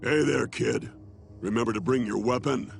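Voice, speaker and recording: An actor playing a gruff veteran beast-warrior commander in a video game, gravelly and blunt as he gives orders. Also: medium pitch, normal speed, faint music behind. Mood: gruff, wry. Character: gruff veteran charr war master, brusque and commanding.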